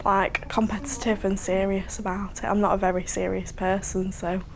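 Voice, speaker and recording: A nineteen-year-old woman, chatting and laughing through a relaxed home interview. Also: medium pitch, moderate pace, gravelly, slight background noise. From Leyland, Lancashire, England. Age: young adult. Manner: chatty, giggly, jokey. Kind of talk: casual interview chat. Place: living room at home, indoors.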